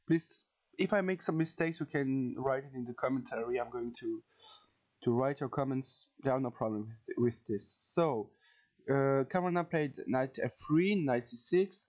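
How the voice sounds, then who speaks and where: A man from France with a French accent gives an informal lesson as a video host, haltingly searching for words and repeating phrases. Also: mid-range voice, a little mellow. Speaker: chess teacher, video host. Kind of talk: informal instructional commentary.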